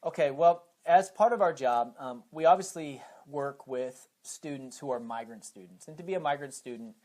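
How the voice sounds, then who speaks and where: Male, American, educator as interview guest, mid-pitched voice, methodical explainer. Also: moderate pace, darkish tone. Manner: explains step by step with examples. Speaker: high school teacher and program coordinator, interview guest.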